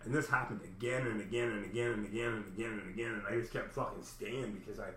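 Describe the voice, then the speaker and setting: A man's voice: on the low side, fairly even intonation, fast talker, a bit bright, slight background noise. A man with a big voice telling a personal story in a rambling, agitated, heavily profane and casual way. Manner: profane, heavy swearing, agitated retelling. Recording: echoey room.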